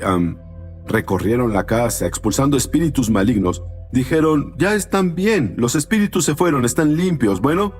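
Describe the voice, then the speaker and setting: A man from Panama speaks earnestly as an interview guest. His voice sits at an ordinary middle pitch, and he tells his story in halting phrases. Soft music plays behind him. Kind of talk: telling his life story.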